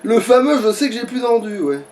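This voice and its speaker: A man's voice: very high-pitched, lively intonation, a little fast, a little mellow. A man giving casual let's play commentary, thinking aloud in a grumbling, foul-mouthed way. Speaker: gaming streamer. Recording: distant mic with background noise.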